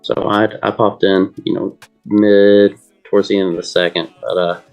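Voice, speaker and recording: A man on a sports show chatting casually, halting and repeating words as he goes. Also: on the low side, little pitch movement, darkish tone, smooth, dull audio, faint music behind. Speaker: co-host or panelist on a sports league show.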